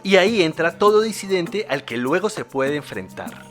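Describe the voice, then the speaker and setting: A man's voice: on the high side, animated, normal speed, soft background music. A man reading scripted documentary narration as the narrator.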